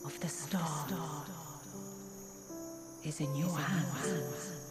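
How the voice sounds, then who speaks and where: A woman's voice: sits low, steady tone, loud music behind the voice. An actress playing a solemn, mysterious woman giving portentous warnings in a video game cutscene. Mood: ominous, solemn. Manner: portentous, slow phrasing.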